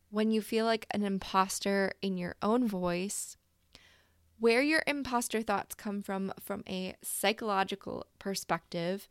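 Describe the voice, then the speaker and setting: A woman's voice: medium pitch, clear, resonant tone, no room sound, clean studio sound. A woman hosting a singing podcast, reading an upbeat intro and then interviewing a guest. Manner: upbeat, enthusiastic. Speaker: podcast host.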